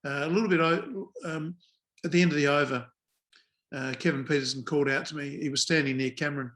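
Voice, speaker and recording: A man's voice: fairly high pitch, fairly even intonation, a bit bright. Dry-humoured older man. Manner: dry understated humour, measured with frequent "uh" pauses. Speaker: guest, former cricket umpire. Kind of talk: relaxed storytelling in a podcast interview.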